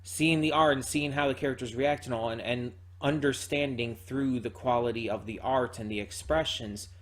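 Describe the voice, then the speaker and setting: A man hosting a reaction channel, giving casual spoken review commentary in a halting way, repeating words as he thinks aloud. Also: mid-range voice.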